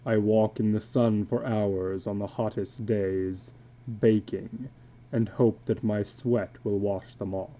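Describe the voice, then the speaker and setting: A man's voice: fairly low pitch, fairly even intonation, warm tone, voice pushed down. A man voicing a weary, middle-aged tattooed carnival drifter in a storybook reading, speaking in a heavy, resigned manner. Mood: haunted, sorrowful. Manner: slow, heavy, resigned delivery.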